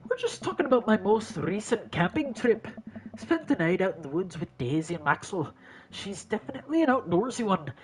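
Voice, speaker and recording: A man's voice: high voice, sing-song, brisk. A man playing a chummy, boastful suburban dad in a visual novel game, folksy and over-friendly. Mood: hearty, boastful.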